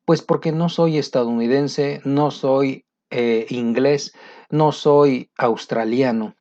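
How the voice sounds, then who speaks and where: A middle-aged Latin American man giving an encouraging English lesson in a casual talking style, pausing often with fillers. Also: medium pitch, steady tone, a little mellow. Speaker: English teacher. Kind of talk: motivational video lesson. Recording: muffled lo-fi recording.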